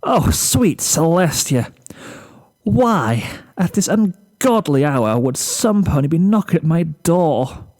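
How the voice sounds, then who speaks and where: A man's voice: fairly high pitch, sing-song, takes it slowly, darkish tone, voice pushed up. A man voicing a fussy, theatrical, sleep-deprived lady pony fashionista in a storybook reading. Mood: anguished, pleading. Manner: theatrical, prim, self-pitying.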